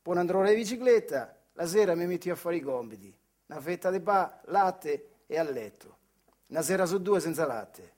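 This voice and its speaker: A man's voice: high-pitched, animated, brisk, a little mellow. A male actor with a Marche accent from central Italy playing Adriano, an earthy, wry factory worker who tells his memories in a colloquial theatrical monologue. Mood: nostalgic. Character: Adriano, working-class old factory man telling his childhood memories. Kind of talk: theatrical monologue storytelling in dialect-tinged speech.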